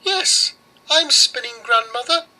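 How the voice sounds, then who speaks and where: A man's voice: very high voice, lively intonation, slow, sharp tone, pitched above the performer's own voice, full character voice. A man voicing a small helpful mouse in a storybook, pleading and urgent. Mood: obliging. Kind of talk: storybook character voice.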